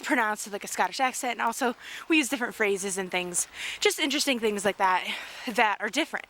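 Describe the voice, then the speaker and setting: A woman's voice: a little high, a bit bright. A young American woman, a student traveling abroad, chatting casually and rambling to her sibling in a travel vlog, outdoors at a castle. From United States. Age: young adult.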